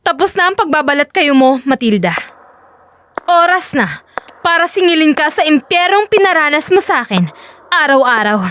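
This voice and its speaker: A woman voicing a vengeful young wife who secretly is an agent, speaking in a forceful, accusing, dramatic way. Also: high voice, animated, normal speed, a little hiss or hum. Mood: cold defiance.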